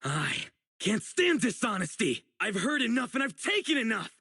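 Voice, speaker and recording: A man voicing an earnest, righteous young public security officer in a video game, dutiful and excitable, with dramatic delivery. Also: sits high, normal speed, creaky, slightly rough, full character voice. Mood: outraged, shouting. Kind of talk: isolated game character voice lines, dramatic acting.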